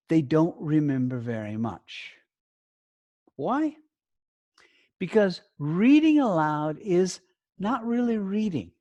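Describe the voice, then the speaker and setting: A man giving an instructional lesson as a teacher trainer, speaking deliberately in short phrases and often checking in with brief questions. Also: on the high side, dark tone, no echo. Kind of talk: instructional talk to learner teachers.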